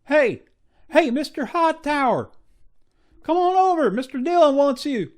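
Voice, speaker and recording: Man acting an eager deputy, swooping pitch. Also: big pitch swings, a little slow, exaggerated voice. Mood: hollering. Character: eager, deferential male deputy to a frontier marshal. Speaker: actor in an amateur radio drama. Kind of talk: Western radio drama acting.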